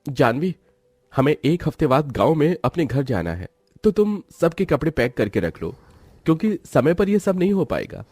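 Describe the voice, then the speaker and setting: A man's voice: fairly high pitch, big pitch swings, moderate pace, a little mellow, husky, no room sound, voice pushed up. A man voicing an earnest adult son and husband who explains things in a family story. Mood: firm.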